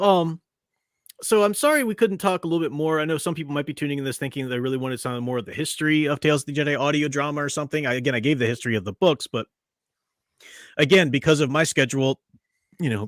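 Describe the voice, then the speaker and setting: A man's voice: on the high side, quick pace, dry recording, studio quality. A man hosting a casual podcast discussion, rambling with frequent restarts and fillers. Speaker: podcast host.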